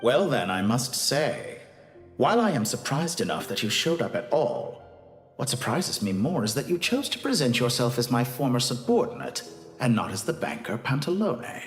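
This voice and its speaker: An actor playing an arrogant, calm mad scientist villain in a video game, speaking in a pompous, deliberate, theatrical way. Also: male voice, medium pitch, roomy sound, faint music behind, natural voice. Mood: silky, amused.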